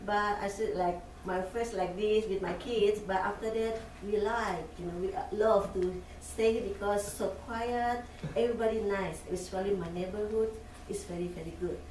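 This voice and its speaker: A woman's voice: medium pitch, steady tone, normal speed, hardly any pauses, darkish tone, far from the mic. A woman, introducing herself at a council meeting in simple, friendly phrasing. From Indonesia. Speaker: college staff member speaking at public comment. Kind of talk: informal public introduction. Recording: echoey room picked up from afar, clear noise.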